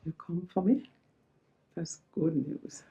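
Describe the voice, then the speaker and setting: An actress playing an ailing Jamaican woman in a hospital bed, speaking in a hushed, breathy whisper with a Jamaican accent. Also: fairly low pitch, slow pace, highs cut off, soft background music, heavily acted. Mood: teasing. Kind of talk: film drama dialogue.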